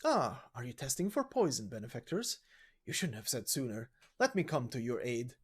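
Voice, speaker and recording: A man voicing a polite, old-fashioned, fretful but genial gentleman traveler from a game story, speaking in formal, theatrical phrases. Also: medium pitch, big pitch swings, moderate pace, breathy edge, voice pushed down. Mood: courteous, eager. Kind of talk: video game story voice acting.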